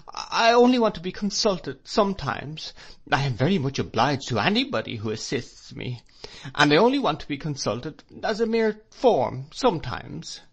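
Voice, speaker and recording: A man's voice: mid-range voice, vocal fry, a bit bright, slightly rough, voice pushed up. A man voicing a timid young wife who pleads through sobs with her stern husband. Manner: pleading, sobbing.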